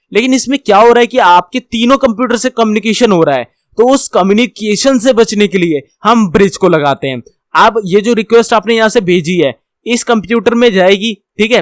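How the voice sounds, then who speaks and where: Male tutor, Indian accent, fairly high pitch, informal and explanatory, rephrases often, dry recording. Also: no echo. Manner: repeats and rephrases points step by step; mixes English technical terms into speech. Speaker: instructor.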